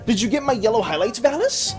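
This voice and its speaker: A man with a high voice chats casually on a livestream, rambling, stumbling over repeated words and thanking viewers one after another. His voice is slightly hoarse and dry. Soft music plays faintly behind him. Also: sing-song, quick pace. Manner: reads chat messages aloud and thanks viewers.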